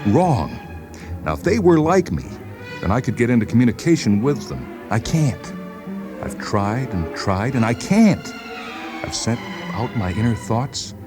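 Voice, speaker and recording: A man playing an agitated, paranoid patient in a dramatized story, insistent, halting and repeating himself. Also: medium pitch, very animated, music in the background. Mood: despairing. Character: agitated paranoid male patient convinced the world conspires against him. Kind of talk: dramatized short story reading.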